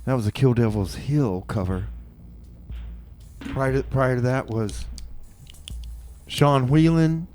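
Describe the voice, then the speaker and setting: A man hosting a community radio show in a casual, improvised style, often halting as he talks. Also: mid-range voice, a little mellow, faint music behind.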